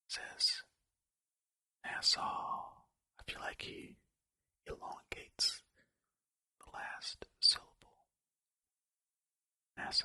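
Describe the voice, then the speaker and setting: Hushed, bright whispering ASMR host. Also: no room sound. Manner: whispering throughout; rambling, self-deprecating, jokey tangents. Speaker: host of a video channel. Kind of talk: whispered ASMR reading of facts with casual asides.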